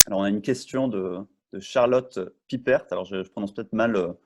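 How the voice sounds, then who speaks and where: A man moderating a video-call masterclass, introducing a question quickly and a little hesitantly. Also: fairly low pitch, background noise.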